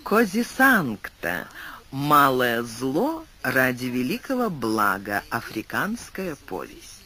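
A man reading a classic tale aloud as an audiobook narrator, in expressive scripted storytelling. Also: mid-range voice, slow.

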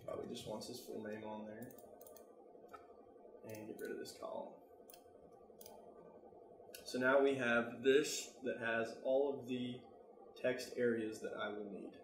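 A man's voice: mid-range voice, fairly even intonation, a little slow, long pauses. Casual American man in a roomy, noisy recording. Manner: informal, thinking aloud while working. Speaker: tutorial presenter. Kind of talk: casual software tutorial narration.

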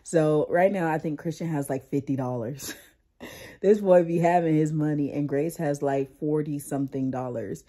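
A woman in her thirties who is sick and losing her voice, chatting casually to her vlog audience about her kids in an animated, talky way. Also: low voice, moderate pace. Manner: chatty, animated storytelling with "like" and "y'all". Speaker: vlogger, mother. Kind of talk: casual chat to camera.

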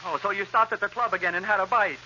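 An actor playing a fussy, jealous househusband in 1930s radio comedy, plaintive and theatrical. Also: male voice, high voice, fast talker, sharp tone. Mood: jealous, indignant. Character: fussy, jealous, nagging househusband in a role-reversal sketch. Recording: dull, hissy 1930s radio recording.

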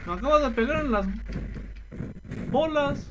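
A man's voice: very high voice, lively intonation, measured pace. A man with a Mexican accent doing casual, slangy, foul-mouthed game commentary, talking to the characters as he plays. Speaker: gameplay video host playing a wrestling game. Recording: very noisy home recording.

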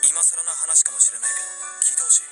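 A man's voice: fairly high pitch, fast talker, over loud music, natural voice. A man acting an earnest young lovestruck man. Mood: earnest, tender. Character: earnest young man confessing love to childhood friend. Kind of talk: radio drama reading.

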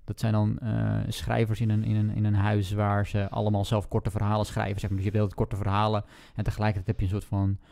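A man co-hosting a podcast, chatting casually about books in a halting way, repeating words as he thinks. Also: fairly low pitch, completely flat delivery, vocal fry, dark tone. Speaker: podcast co-host. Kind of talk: casual book chat.

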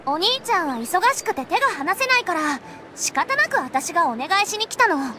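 A woman voicing a chatty, eager teenage girl in a Japanese anime game, lively and friendly. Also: very high-pitched, fairly quick, background noise. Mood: earnest.

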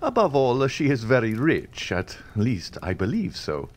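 A man voicing a suave nobleman who speaks in a smooth, half-jesting, courteous tone. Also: mid-range voice, wildly swooping pitch, a little slow, a little hiss or hum, voice pushed up. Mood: courteous, ironic. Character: suave, coolly ironic older nobleman, male.

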